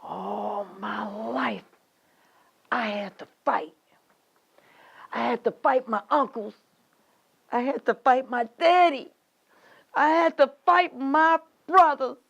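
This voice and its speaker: A woman acting a long-suffering, resilient rural Southern woman in a heartfelt, halting dramatic monologue. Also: high-pitched, muffled recording. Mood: weary, grave.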